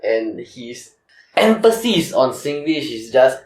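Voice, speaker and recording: A man with a Singaporean accent hosting a casual chat podcast, relaxed and quick to laugh. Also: medium pitch, echoey room. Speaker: podcast host.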